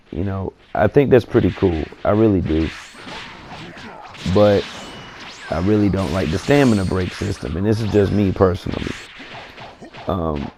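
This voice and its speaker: A man getting over a cold, his voice scratchy and gravelly, giving laid-back, opinionated commentary on a video game. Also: fairly low pitch, warm tone. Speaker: gaming commentator. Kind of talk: casual gaming commentary.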